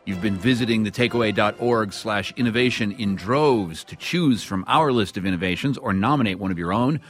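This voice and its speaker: A man's voice: on the low side, brisk, faint music behind. An American man hosting a radio show in a conversational, scripted style.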